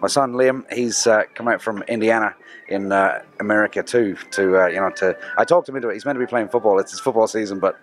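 A middle-aged man from Ireland, now abroad, talking easily with rambling pauses. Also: on the low side. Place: outdoor street.

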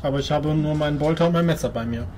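A man's voice: on the high side, rapid-fire, a little mellow. A man speaking as a player in a tabletop role-playing game, partly in character. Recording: clearly noisy room recording.

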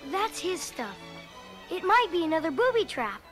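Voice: extremely high pitch, very animated, normal speed, heavily acted. A performer playing an eager, adventurous young boy on a treasure hunt in an acted read-along storybook. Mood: earnest. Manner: eager, exclaiming. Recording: loud music under the voice.

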